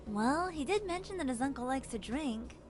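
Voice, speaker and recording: A woman's voice: sits high, expressive, moderate pace. A woman voicing a confident, teasing, scheming young sleuth in a visual novel game. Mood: sly, teasing. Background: game music.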